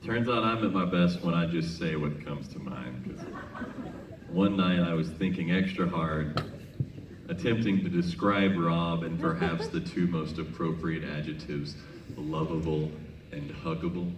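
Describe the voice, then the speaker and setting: An American man telling humorous stories onstage to a concert audience, conversational, with deliberate pauses before punchlines. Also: low voice. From Minnesota, United States. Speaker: singer addressing a concert audience. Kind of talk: humorous onstage storytelling between songs. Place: large casino concert venue. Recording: echoey live hall, distant noisy PA sound.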